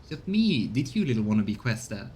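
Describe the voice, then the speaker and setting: A man voicing a rough, scornful smuggler in a video game, coarse and full of soldier slang. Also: on the low side, sing-song, a little fast, darkish tone, slight background noise.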